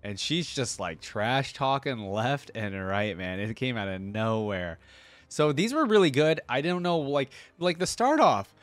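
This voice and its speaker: A man's voice: medium pitch, animated, a little slow, no echo. A young man hosting a reaction video, chatting in an enthusiastic, slangy, rambling way. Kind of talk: casual chat, fan commentary.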